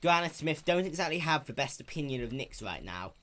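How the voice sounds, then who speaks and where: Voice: medium pitch, very animated, brisk, fairly bright tone, voice pushed up. A performer voicing a plain-spoken, hardworking young country mare with an earnest, folksy rural drawl. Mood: worried.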